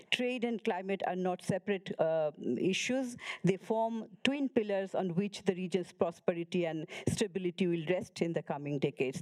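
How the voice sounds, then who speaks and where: A woman panelist from Bangladesh giving a conference talk, halting, with frequent fillers and restarts. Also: lowish voice, darkish tone.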